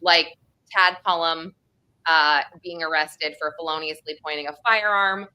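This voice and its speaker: A woman's voice: low-pitched, fairly even intonation, a little slow, bright, forceful tone, smooth, low-quality recording. A woman from the Northeastern United States with an American accent, co-hosting a casual true crime discussion in a chatty, informal way. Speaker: co-host.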